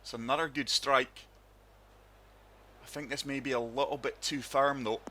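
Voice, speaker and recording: Calm man with a sore throat, bright voice. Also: medium pitch, normal speed, a little hiss or hum. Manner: calm, steady play-by-play narration. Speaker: gaming channel host. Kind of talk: casual gameplay commentary.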